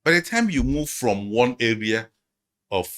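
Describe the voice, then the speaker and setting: A man's voice: mid-range voice, lively intonation, unhurried, fairly bright tone. A man, a guest analyst in a conversational interview, explaining emphatically and repeating his phrases.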